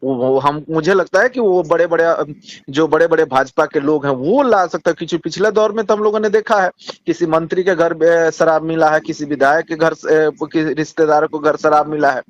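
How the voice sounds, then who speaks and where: Higher-pitched Bihari man, halting and insistent. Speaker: communist party politician. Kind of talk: political phone interview. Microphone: phone call. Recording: dull lo-fi phone call recording.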